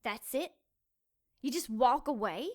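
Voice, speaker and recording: A woman's voice: fairly high pitch, lively intonation, a little slow. A woman playing a suspicious, frightened young small-town woman in an audio drama, speaking in an accusatory, confrontational way. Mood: curt.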